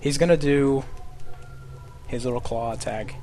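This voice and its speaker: A man's voice: medium pitch, measured pace, slight background noise. A young man casually explaining game tactics as he plays a speedrun live. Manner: relaxed, explanatory, chatty about game tactics. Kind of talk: casual live commentary while playing. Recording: stream mic with game music behind. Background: game music.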